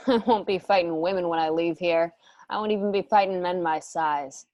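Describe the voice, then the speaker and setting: A woman's voice: on the low side, moderate pace, a little mellow, no room sound, highs cut off, natural voice. An actress playing a cocky, defiant young female warrior in a radio drama, brash and taunting.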